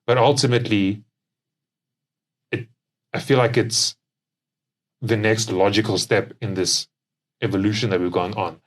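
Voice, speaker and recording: A man's voice: fairly low pitch, steady tone, measured pace, pauses often, low-quality recording. A young adult man with a South African accent, chatting casually as a company co-founder on a podcast. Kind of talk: casual conversational podcast chat.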